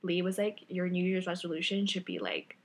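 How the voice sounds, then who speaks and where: Young woman cohosting a chatty podcast, loud voice that really carries, lots of energy. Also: fairly low pitch, very animated, a little fast, a little hiss or hum. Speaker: podcast co-host. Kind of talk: casual chat.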